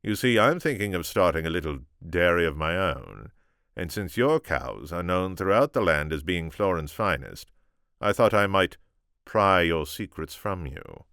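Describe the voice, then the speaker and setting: A man voicing a lordly Count who speaks in a measured, polite manner. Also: sits low, big pitch swings, creaky, a bit bright, smooth, no echo, clean studio sound, heavily acted. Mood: suave. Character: lordly Count in a golden carriage.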